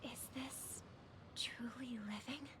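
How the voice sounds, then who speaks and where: A woman playing a gentle, thoughtful young sister in a video game, speaking earnestly and questioningly. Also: a little high, fairly even intonation, takes it slowly, noisy background. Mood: concerned. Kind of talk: dramatic video game acting. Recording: music behind the voice.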